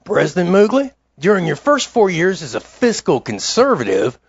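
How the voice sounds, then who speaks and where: A man's voice: high voice, wildly swooping pitch, moderate pace, husky, dry recording, muffled recording, exaggerated voice. A man playing an earnest, incredulous TV news interviewer in a parody broadcast, with formal delivery.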